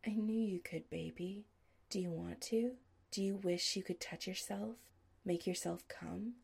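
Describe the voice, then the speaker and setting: Woman putting on a calm, commanding man. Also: vocal fry, breathy edge. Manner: low, quiet, measured orders.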